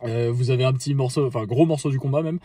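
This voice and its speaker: A man's voice: medium pitch, fast talker, husky, no echo, clean studio sound. Slangy man recapping a fishing day. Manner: slangy, many fillers, excited storytelling. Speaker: fishing vlogger. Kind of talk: casual trip recap to camera. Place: inside a parked car. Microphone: phone at arm's length.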